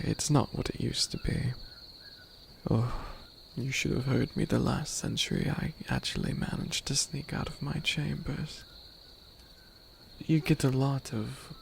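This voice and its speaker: An ancient, playful skeleton overlord, played by a man, speaks softly in a heavily creaky, fry-laden voice that roams freely up and down. The wording is formal and old-fashioned, often hesitant, slipping toward a whisper in an ASMR roleplay. He unfolds sentences slowly, curious and puzzled by humans, over faint background noise. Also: mid-range voice, full character voice. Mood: amused, nostalgic. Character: centuries-old skeleton demon lord, playful, curious, bewildered by modern humans.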